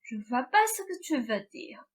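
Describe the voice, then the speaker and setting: A woman's voice: sits high, very animated, normal speed. A woman playing a naive, sulky young prince in a student French radio play. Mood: guarded, weary.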